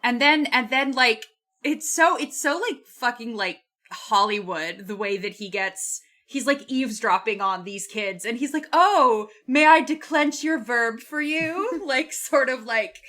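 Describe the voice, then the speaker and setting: A woman's voice: on the high side, animated, measured pace, pushed and bright. A woman co-hosting a casual book discussion, irreverent and sweary, with "like" peppered through her sentences. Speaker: book podcast co-host.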